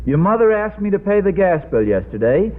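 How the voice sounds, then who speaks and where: A man playing an affable, mildly pompous middle-aged suburban father in a vintage radio sitcom, speaking in a mild, slightly halting way. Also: high voice, big pitch swings. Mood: mild, moralizing. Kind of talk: 1940s-50s radio sitcom acting. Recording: noisy old radio broadcast, narrow band.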